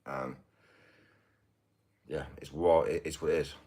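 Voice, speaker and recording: A British man with a deep voice, chatting casually on a livestream in a halting, mumbly way full of fillers. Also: fairly even intonation, warm tone. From United Kingdom. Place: indoors, getting ready to go out.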